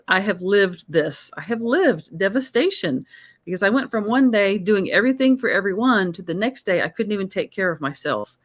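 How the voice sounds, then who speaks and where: A woman hosting a conversation show, upbeat and encouraging as she welcomes her guest. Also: medium pitch. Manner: warm, enthusiastic, encouraging. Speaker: host. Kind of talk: video chat show hosting.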